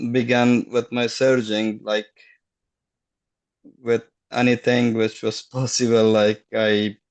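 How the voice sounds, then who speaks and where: A middle-aged man, a guest on a video call, telling his story haltingly and searching for words. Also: on the low side, creaky, low-quality recording. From Afghanistan. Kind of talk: casual personal story.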